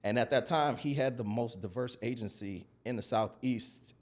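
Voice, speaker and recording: A man's voice: medium pitch, moderate pace. An American man in his early forties, a podcast guest telling stories in a casual, conversational style. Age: early 40s. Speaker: podcast guest, digital marketing consultant.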